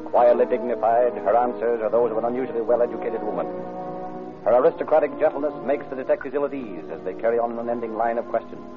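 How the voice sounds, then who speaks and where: A man's voice: mid-range voice, fast talker. A man reading dramatic scripted narration in the style of old-time radio. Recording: narrow-band old radio recording over music. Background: music.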